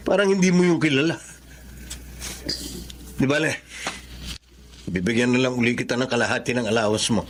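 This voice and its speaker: A man's voice: medium pitch, normal speed, pauses often, lightly acted. An actor playing a weary, caring Filipino father in a radio drama, speaking in an earnest, emotive way. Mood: disappointed. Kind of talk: Tagalog radio drama acting. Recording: noisy, with ambience behind.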